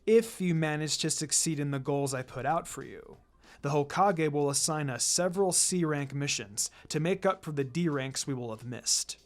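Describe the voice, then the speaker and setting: A reader voicing a stern, cold male ninja commander who trains students, speaking in a commanding, deliberate, menacing way in a fan fiction reading. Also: medium pitch, voice pushed down, lightly acted. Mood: stern, demanding. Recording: music under the voice.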